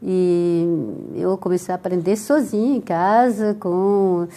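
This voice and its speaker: An older woman from France speaking with a French accent, calmly telling her life story as a guest in a TV interview. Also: on the low side, slow pace. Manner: calm storytelling with soft laughs.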